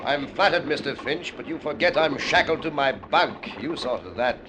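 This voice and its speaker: A cool, sardonic convict leader in a vintage radio play, acted by a man. He has a bright, sharp voice and mocks with dry politeness over faint noise. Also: mid-range voice, moderate pace, close to an everyday voice. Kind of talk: old-time radio acting. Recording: faintly noisy old radio recording.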